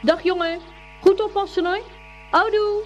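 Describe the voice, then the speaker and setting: Caring mum in a radio play, high voice, muffled old recording. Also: female voice, steady tone, unhurried, faint music behind. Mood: fond. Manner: motherly, fond. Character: caring, cheerful mother of a schoolboy. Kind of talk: Dutch radio play acting.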